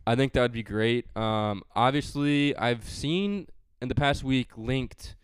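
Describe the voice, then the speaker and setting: A man's voice: mid-range voice, a little slow, smooth, no echo. A man hosting a casual sports podcast, chatty and joking, with frequent fillers. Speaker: podcast host.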